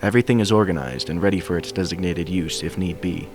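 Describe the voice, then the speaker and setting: Male narrator, low pitch, scripted story reading, faint game soundtrack music behind. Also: fast talker. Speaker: fan fiction reader.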